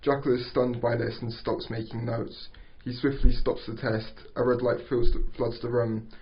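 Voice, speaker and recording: A man narrating stage directions at an amateur script table read, halting and stumbling over words. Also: mid-range voice, flat delivery. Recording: dull lo-fi recording.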